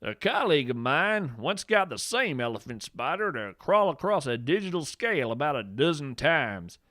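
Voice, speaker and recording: A man narrating scripted fiction as a podcast host, with a measured, deliberate and expressive storytelling delivery. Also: a little high, no room sound, studio quality.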